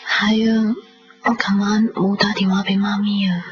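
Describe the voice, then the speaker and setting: Actress as a soft young Hong Kong woman, muffled radio. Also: medium pitch, flat delivery, unhurried, slight background noise, close to an everyday voice. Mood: tender, reluctant. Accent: Hong Kong Cantonese. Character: gentle, soft-spoken young woman in love. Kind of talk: radio drama acting with intimate dialogue and narration. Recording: dull lo-fi 1990s radio, music behind.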